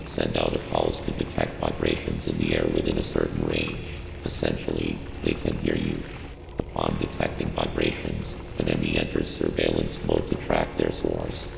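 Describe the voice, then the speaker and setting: A man voicing a calm artificial intelligence advisor in a video game, with formal, measured, clinical briefings and a synthetic robotic effect. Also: extremely low pitch, vocal fry, full character voice. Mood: clinical, cautionary. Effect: synthetic robotic computer voice processing. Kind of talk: scripted game briefing narration. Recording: echoing long reverb, loud ambient noise.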